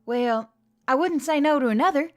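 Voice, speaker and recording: A woman's voice: high-pitched, moderate pace, faint music behind, voice pushed up, close to an everyday voice. A woman voicing a wry, weary female adventurer with dry, understated delivery in a fantasy audiobook. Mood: friendly.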